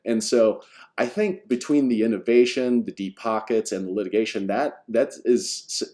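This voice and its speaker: Low-pitched man giving informal investing commentary. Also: a little mellow. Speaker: amateur investor presenting takeaways. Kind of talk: informal explanatory commentary over screen share.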